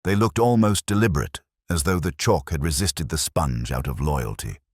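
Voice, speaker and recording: Really low male narrator, measured detective story reading, lively intonation, dry close sound. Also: breathy edge, no echo. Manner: measured, literary, reflective delivery. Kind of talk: scripted first-person audio drama narration.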